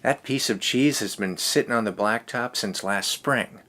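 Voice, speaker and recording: A man with a low voice hosts a read-aloud for kids. He reads in a casual, easygoing way and drops in chatty asides. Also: normal speed, bright, forceful tone. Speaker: host of a children's read-aloud show.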